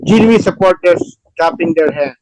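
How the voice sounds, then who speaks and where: A man hosting and narrating a play table read, with halting, repetitive phrasing. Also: on the high side, a little mellow, dry recording, poor audio. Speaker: show host and stage-direction reader.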